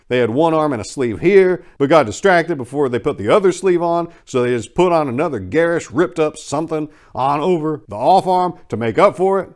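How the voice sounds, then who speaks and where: A man giving a dramatic first-person reading of a short story in a wry, sardonic and expressive manner. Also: fairly high pitch. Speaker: story narrator.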